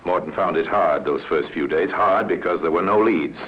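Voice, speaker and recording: A man giving terse, deliberate, dramatic scripted narration in the style of old radio. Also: low voice. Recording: muffled old radio recording with noise.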